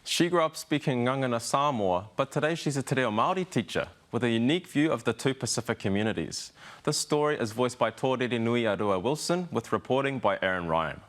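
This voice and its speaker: Male anchor, formal story intro, mid-pitched. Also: lively intonation, a little fast, few pauses, fairly bright tone. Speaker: news presenter. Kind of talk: news introduction.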